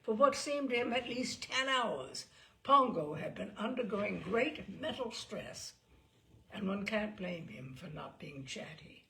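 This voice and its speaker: A woman reading a comic story aloud in an expressive, droll, theatrical narrating style. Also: medium pitch, a little slow. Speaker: story reader.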